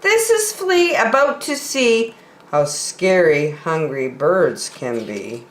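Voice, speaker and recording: A woman reads a children's picture book very slowly in a lowish, highly animated voice. She leaves dramatic pauses between phrases, and the room is echoey. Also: slight background noise. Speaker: children's story time reader. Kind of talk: expressive picture-book reading.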